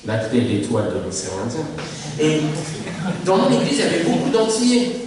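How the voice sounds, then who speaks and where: Pastor from mauritius preaching, fairly high voice, lots of repeating for effect, big echoey church picked up from far off. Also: male voice, wildly swooping pitch, fairly quick, background noise. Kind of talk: sermon on family life.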